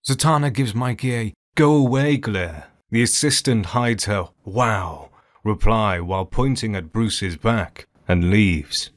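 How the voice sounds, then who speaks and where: A man's voice: fairly low pitch, takes it slowly, few pauses. A man giving scripted narration for an audio drama as its narrator.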